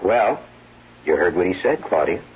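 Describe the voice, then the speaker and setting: An actor playing an evasive, anxious husband in a 1970s radio drama, earnest, hesitant and placating. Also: male voice, low-pitched, very animated, moderate pace. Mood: placating concern. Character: anxious Broadway-star husband, evasive, placating, weak-willed. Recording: dull, noisy, humming vintage radio recording.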